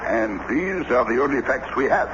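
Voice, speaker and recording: An actor in a radio drama playing a wry, unflappable French police commissioner with a deliberate, officious delivery. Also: male voice, medium pitch, expressive, natural voice. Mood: wry, unbothered. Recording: dull old radio with clear noise.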